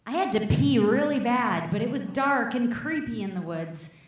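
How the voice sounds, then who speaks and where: A woman's voice: on the high side, lively intonation, moderate pace, natural voice. A woman acting an uneasy cartoon woman who tells of a creepy sighting, on a stage microphone. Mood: spooked, hushed. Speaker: actor at a table read. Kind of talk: live comedy script read. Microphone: stage microphone on stand. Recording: roomy stage microphone before an audience.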